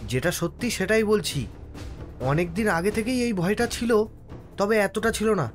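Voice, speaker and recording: A man's voice: sits high, lively intonation, moderate pace, faint music behind. An actor voicing an earnest, frightened village boatman insistently telling a ghost tale in a horror cartoon. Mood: grave, fearful. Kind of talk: cartoon horror story voice acting.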